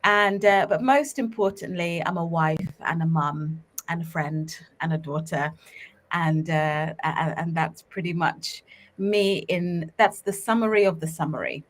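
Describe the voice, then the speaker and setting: A woman, talking as an interview guest in a relaxed, reflective way with many fillers, over a video call. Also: fairly low pitch, measured pace. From Uganda. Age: 30s. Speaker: guest, startup co-founder. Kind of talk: casual interview talk.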